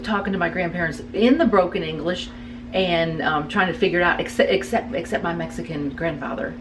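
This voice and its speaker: A woman with a somewhat low voice, answering her son in casual conversation. She hesitates and repeats words as she gathers her thoughts. She sounds distant from the mic, with steady background noise. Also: fairly quick. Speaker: guest, the host's mother.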